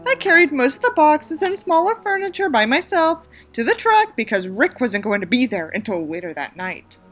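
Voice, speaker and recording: Animated, bright-voiced woman, sarcastic storyteller. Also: high-pitched, moderate pace, soft background music. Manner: sarcastic, theatrical. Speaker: host reading a story aloud with commentary. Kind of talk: mocking dramatic reading with casual asides. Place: small room in an apartment.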